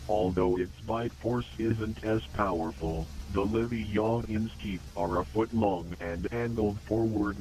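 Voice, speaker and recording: Low-voiced man reading formal announcements. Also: darkish tone, low-quality recording. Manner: formal, measured, impassive. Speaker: narrator of a mock public warning video. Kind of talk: scripted documentary-style announcement narration.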